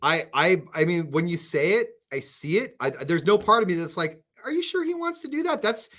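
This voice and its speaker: A man radio host in casual chat with his co-host, animated, often restarting his sentences. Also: high-pitched, a little fast.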